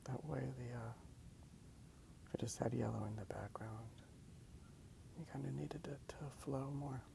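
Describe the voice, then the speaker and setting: A man speaking in a soft, hushed ASMR style, musing slowly and repeating his phrases as he narrates his drawing. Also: mid-range voice, vocal fry, noisy background. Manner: hushed, unhurried musing, repeats phrases, asks listener questions. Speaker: host of an ASMR drawing video. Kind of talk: soft-spoken ASMR rambling while drawing. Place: outdoors in a park.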